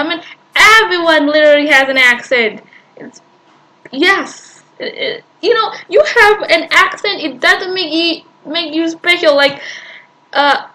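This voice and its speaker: A woman's voice: high voice, bright, forceful tone, low-quality recording. A woman reacting to a video she is playing, arguing back at it in an exasperated, rambling way with sighs and restarts.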